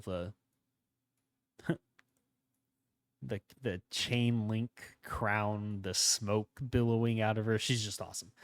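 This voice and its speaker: Guy hosting a gaming channel, a bit hoarse, rambling along as he plays, lowish voice, dry close sound. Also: dry recording. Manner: rambling, relaxed, thinking aloud. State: slightly hoarse from a starting cold. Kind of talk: casual gameplay commentary.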